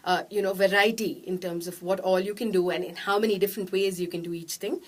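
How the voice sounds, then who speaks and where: A woman's voice: medium pitch, steady tone. A woman in her thirties with a nasal voice, a radio jockey answering interview questions in a chatty way while seated in a small studio room. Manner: fast, chatty, gestures a lot with her hands.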